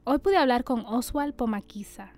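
Bright-voiced woman hosting a podcast. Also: on the high side, normal speed. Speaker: podcast host. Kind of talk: scripted narration and interview.

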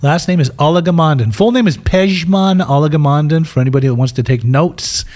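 A man's voice: fairly high pitch, brisk, a little mellow. A middle-aged man who grew up in the United States and first spoke German, telling his life story as a podcast guest in an open, rambling way. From United States (raised in Utah). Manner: open, rambling, restarts words. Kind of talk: candid storytelling interview.

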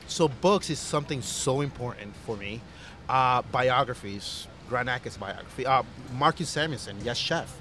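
A man's voice: medium pitch, expressive, normal speed, bright, forceful tone, slight background noise. A man who grew up in Costa Rica, a chef talking earnestly and reflectively in a casual interview, with fillers and restarts.

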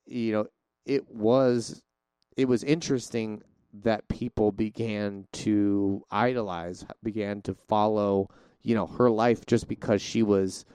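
A man's voice: on the low side, steady tone, slow, dark tone, clear, resonant tone, no echo. A man co-hosting a podcast in casual chat, talkative and theatrical, often restarting his sentences. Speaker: podcast co-host.

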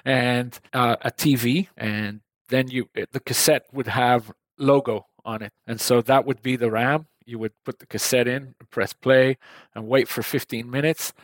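A man's voice: mid-range voice, no room sound. A man in his forties from Israel, chatting as an interview guest, often laughing and repeating words as he restarts his sentences. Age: 40s. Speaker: guest, tech company founder. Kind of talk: casual interview conversation.

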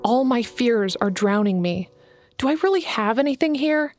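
A woman's voice: fairly high pitch, expressive, moderate pace, dry recording, faint music behind, natural voice. A woman reading an audiobook, voicing an eager, earnest young woman writer who pleads and bristles. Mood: anxious. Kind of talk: audiobook character voice.

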